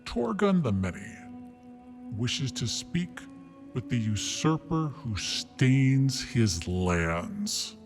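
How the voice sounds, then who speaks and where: A man voicing a gracious but menacing king, speaking in a courtly, measured way. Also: medium pitch, sing-song, exaggerated voice. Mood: regal. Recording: roomy sound with music behind.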